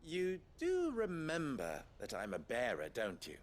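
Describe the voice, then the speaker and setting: An actor playing an upright, wry town leader in a fantasy game, speaking in formal, eloquent dialogue. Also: male voice, medium pitch, huge pitch swings, unhurried. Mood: firm, measured. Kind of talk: dramatic video game dialogue.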